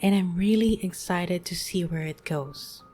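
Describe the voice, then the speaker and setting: A woman in her late thirties, speaking gently and soothingly in a reflective monologue as a podcast host. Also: lowish voice, measured pace, soft background music. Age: 30s. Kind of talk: soft-spoken reflective monologue.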